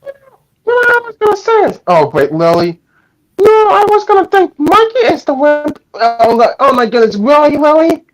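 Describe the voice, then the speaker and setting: An excitable companion making casual interjections during a read-aloud. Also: high-pitched, moderate pace, rough recording. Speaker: companion commenting on the reading.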